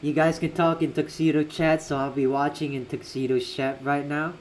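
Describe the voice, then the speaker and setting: A man's voice: a little high, level tone, moderate pace, slight background noise. A young man casually commentating a game on a livestream, slangy and reactive, repeating himself as he chats. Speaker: livestreaming gamer. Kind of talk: casual live game commentary, chatting with viewers.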